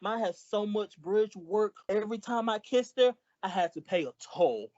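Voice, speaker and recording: A performer playing a childlike, wisecracking, excitable male comedian sidekick in a 1940s-style radio comedy. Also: mid-range voice, moderate pace, dry recording, lo-fi sound, natural voice. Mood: boastful, joking. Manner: exclamatory, wisecracking.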